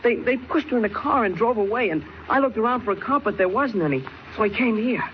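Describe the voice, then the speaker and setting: A male actor playing an excitable, frightened, breathless teenage boy in a 1940s style radio drama on an old recording. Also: high-pitched, quick pace. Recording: muffled, faintly noisy old radio recording.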